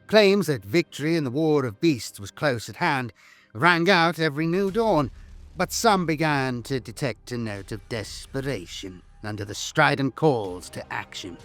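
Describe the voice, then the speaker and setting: A man's voice: medium pitch, lively intonation, unhurried, fairly bright tone, soft background music. A man delivering dramatic scripted war-lore narration in a grave, theatrical, emphatic manner.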